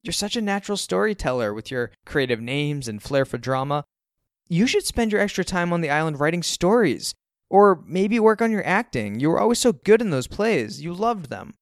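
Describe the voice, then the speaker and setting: A man's voice: fairly high pitch, sing-song, moderate pace, dry recording, clean studio sound, pitched above the performer's own voice. A man voicing a forcedly cheerful, encouraging mother in an audiobook, placating and upbeat. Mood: sweetly placating. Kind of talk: audiobook character dialogue.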